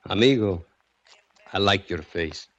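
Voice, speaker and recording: An actor playing a grinning hired killer who speaks calm, broken English with a heavy Spanish accent, in a 1940s radio drama. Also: male voice, deep voice, a little slow, lo-fi sound. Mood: menacing calm.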